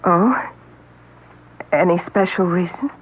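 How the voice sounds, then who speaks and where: An actress playing Helen, a nervous, hesitant wife, in a 1970s radio drama. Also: female voice, fairly low pitch, slow pace. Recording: tinny old radio broadcast.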